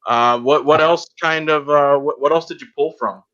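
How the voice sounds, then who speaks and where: Bright-voiced man, slightly muffled recording. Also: a little high, normal speed. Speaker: podcast host. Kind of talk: casual podcast chat.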